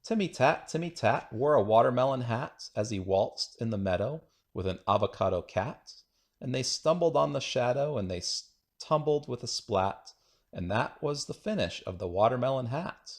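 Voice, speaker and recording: A man's voice: mid-range voice, animated, moderate pace. A man reading children's rhyming poems aloud in a playful, singsong, rhythmic way. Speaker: children's book reader.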